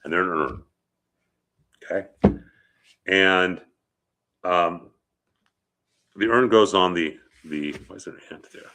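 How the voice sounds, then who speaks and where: A man's voice: low voice, slow pace, pauses often, pushed and bright, slight background noise. A man with a head cold, sniffling a little, teaching as a rabbi in an informal, conversational lecture and often checking in with short questions. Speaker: rabbi teaching about funeral customs.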